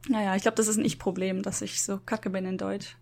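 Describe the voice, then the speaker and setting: A woman's voice: medium pitch, little pitch movement, brisk, creaky, a little mellow. A woman chatting casually, talkative and self-deprecating, often laughing at herself. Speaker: university lecturer in Japan. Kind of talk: casual podcast chat.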